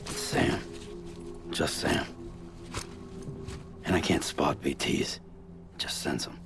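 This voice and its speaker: A man playing a gruff, weary courier in a cinematic game, muttering tersely in a breathy, gravelly voice. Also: very deep voice, steady tone, takes it slowly, over music, full character voice. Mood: guarded, flat. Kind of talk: cinematic video game acting.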